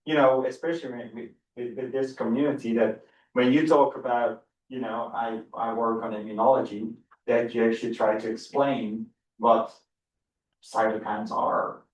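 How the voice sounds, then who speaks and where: Dutch-accented professor lecturing in an echoey room. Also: male voice, mid-range voice, steady tone, moderate pace, lo-fi sound. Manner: enthusiastic, repeats words and restarts often. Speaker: biology professor giving a seminar. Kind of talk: university seminar lecture. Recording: echoey room, far from the mic.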